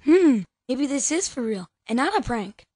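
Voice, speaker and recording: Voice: high-pitched, very animated, normal speed, darkish tone. A young performer playing an eager, earnest ten-year-old boy in an amateur audio drama. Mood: curious.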